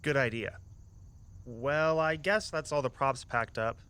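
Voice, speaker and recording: A man playing an easygoing, loyal young tour guide friend in an audio drama, talking in a casual, chatty, gently earnest way. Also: medium pitch, unhurried, smooth, close to an everyday voice. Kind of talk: naturalistic audio drama dialogue.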